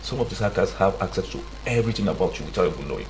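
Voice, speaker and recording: Fast-talking Nigerian man, slightly low voice. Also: expressive, a little hiss or hum. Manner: emphatic, repetitive, halting and restarting often. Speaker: expert guest on a radio programme. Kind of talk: explanatory radio interview talk. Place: radio studio.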